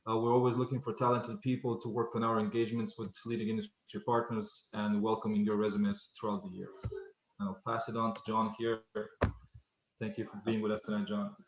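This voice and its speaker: A man's voice: lowish voice, level tone, brisk. A man who is a student club host, opening an event with a formal, hesitant introduction full of fillers over a video call. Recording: echoey room, dull lo-fi call audio.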